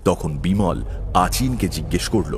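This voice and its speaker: Low-pitched male story narrator. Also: lively intonation, normal speed, background noise. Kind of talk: scripted audio story narration.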